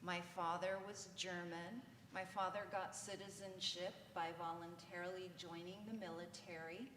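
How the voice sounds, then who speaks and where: A woman's voice: lowish voice, little pitch movement, moderate pace, a bit bright. A woman who coordinates an English language program, speaking thoughtfully and personally on a panel. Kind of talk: reflective panel remarks. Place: conference hall. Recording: echoey hall, faint noise.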